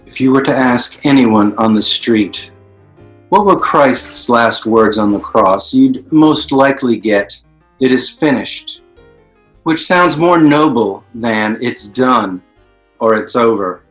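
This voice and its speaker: Man acting a thoughtful, learned, mid-pitched storyteller. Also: slow, fairly bright tone, faint music behind, low-quality recording. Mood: explanatory. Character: thoughtful, learned narrator reflecting on the Hollywood blacklist. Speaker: stage actor in a play reading. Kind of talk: theatrical monologue in a staged reading.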